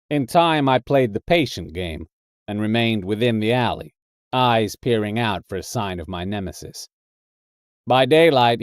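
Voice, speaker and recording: A man voicing a tough, thickset American drifter who narrates his own purgatory story in a deliberate, hard-boiled, colourful way. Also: fairly low pitch, dry recording, clean studio sound, lightly acted. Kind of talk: dramatic first-person short story narration.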